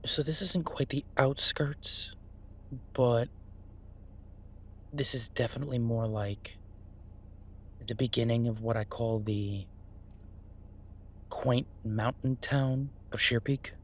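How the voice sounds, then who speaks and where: A man playing an earnest, easygoing chef in a roleplay audio drama, talking haltingly and reassuringly to a partner. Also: mid-range voice, a little hiss or hum, lightly acted. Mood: casual, enthusiastic. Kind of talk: roleplay audio drama, conversational monologue to listener. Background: ambient sound effects.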